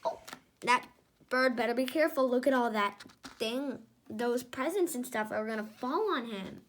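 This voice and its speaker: High-pitched woman reading a storybook. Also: moderate pace, a little hiss or hum. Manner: expressive, chatty, reacting to the pictures. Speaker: storyteller reading a picture book aloud. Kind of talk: children's read-aloud with casual asides.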